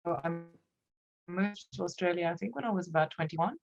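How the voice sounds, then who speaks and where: Guest, 30s woman, Romanian accent, low voice, reflective and halting, muffled call audio. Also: gravelly, darkish tone. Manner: reflective, hesitant, laughs often. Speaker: podcast guest, copywriter. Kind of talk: conversational interview.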